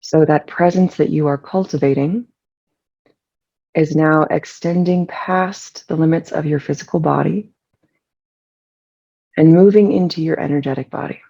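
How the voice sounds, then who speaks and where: A relaxed meditation guide speaks with soft, dark tone and a good deal of gravelly fry. The delivery is soothing and unhurried, leaving long pauses between phrases. The recording sounds slightly dull and lo-fi. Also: mid-range voice.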